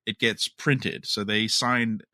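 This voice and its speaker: A man's voice: on the low side, steady tone, slow pace, sharp tone, no room sound, clean studio sound. A man co-hosting a podcast, casually talking through news with a wry, skeptical manner. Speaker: podcast co-host.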